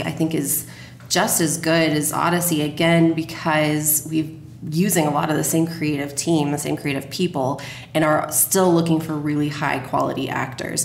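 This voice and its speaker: Woman with a deep-ish voice being interviewed, echoey room, bit of noise. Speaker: guest, radio drama producer. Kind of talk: interview conversation. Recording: roomy echo, background noise.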